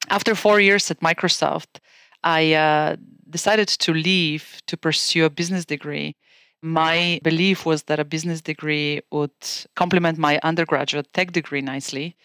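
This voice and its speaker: A woman in her forties from Bulgaria with a Bulgarian accent, a guest calmly recounting her career in a podcast interview. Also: deep voice, smooth, no echo, clean studio sound.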